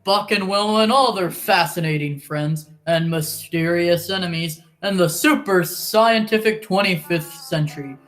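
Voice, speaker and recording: A woman's voice: lowish voice, moderate pace, few pauses, a bit bright, faint music behind, natural voice. A woman playing an enthusiastic old-time radio serial announcer, showy and storytelling in delivery. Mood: enthusiastic, inviting.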